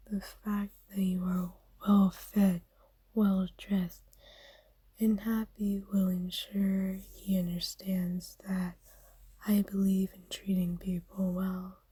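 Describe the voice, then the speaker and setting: Woman acting as old vampire mistress, ASMR roleplay, breathy whisper, unhurried formal phrasing, soothing, possessive, close dry sound. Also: mid-range voice, dark tone, no room sound, full character voice. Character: ancient wealthy female vampire, kindly possessive owner.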